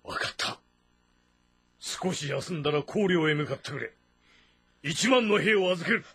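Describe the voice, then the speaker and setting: Gravelly, stern warrior general. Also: male voice, fairly high pitch, expressive, a little slow, full character voice. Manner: forceful, barking military orders. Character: commanding veteran warrior general, resolute, stern male. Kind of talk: Japanese historical drama voice acting.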